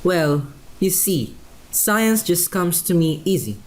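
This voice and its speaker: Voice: medium pitch, big pitch swings, slow, a little hiss or hum. Modest, earnest schoolboy whiz played by an actor. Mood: bashful. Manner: polite, earnest, folksy exclamations. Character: modest, helpful thirteen-year-old boy science prodigy. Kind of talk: radio play acting.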